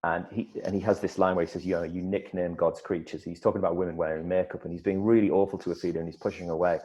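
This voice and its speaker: A middle-aged man, an actor, telling stories in a casual interview, lively and full of restarts. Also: deep voice, very fast, poor audio. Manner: animated storyteller, many restarts and repeated words. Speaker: actor guest.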